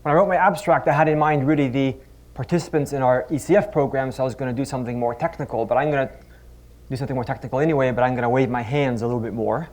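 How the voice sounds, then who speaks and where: A man's voice: mid-range voice, very fast, a little mellow. A middle-aged man from the Netherlands, a physics professor giving a conference talk with wry, self-deprecating asides and frequent restarts. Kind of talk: conference talk with humorous anecdotes. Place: conference stage before a live audience.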